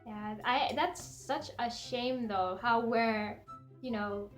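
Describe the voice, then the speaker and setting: Young woman, native Somali speaker, high pitch, informal vlog chat, opinionated storyteller, light background music. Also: slow pace. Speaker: vlog host. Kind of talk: casual chatty vlog. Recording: light music bed behind voice.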